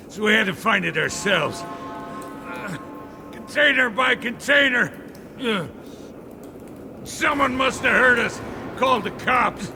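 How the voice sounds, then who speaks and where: An actor playing a blustering, panicky mob henchman in a video game, with an American accent and rough street talk. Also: high voice, full character voice.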